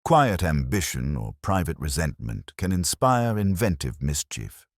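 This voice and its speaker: A man's voice: low voice, sing-song, unhurried, no echo, clean studio sound, exaggerated voice. A man playing a mild, shrewd police inspector in an audiobook, polite and understated as he questions. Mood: measured caution.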